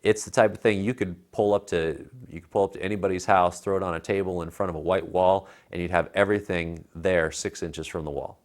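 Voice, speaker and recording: A man's voice: sits low, fairly even intonation, fairly quick, hardly any pauses. An American man in his thirties co-hosting a tech show, explaining gear in a casual, conversational way. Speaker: tech show co-host reviewing home theater gear. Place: living room at home, indoors. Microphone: lapel mic.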